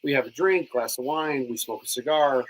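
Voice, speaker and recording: A man's voice: mid-range voice, normal speed, a little hiss or hum, poor audio. Middle-aged man, Italian first language, easygoing chatty guest. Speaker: cigar company co-owner. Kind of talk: casual chat.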